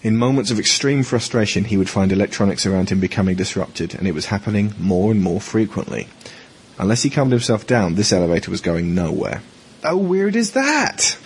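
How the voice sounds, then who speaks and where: A man's voice: sits low, a little fast, a little mellow, a little hiss or hum. A man reading scripted audio drama narration as the storyteller.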